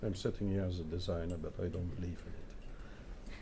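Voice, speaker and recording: Candid designer on a panel, low mellow voice, faint venue hum. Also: male voice, flat delivery, normal speed. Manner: candid, musing, checks in with "no?" and "huh?". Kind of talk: casual panel talk. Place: event venue in front of an audience.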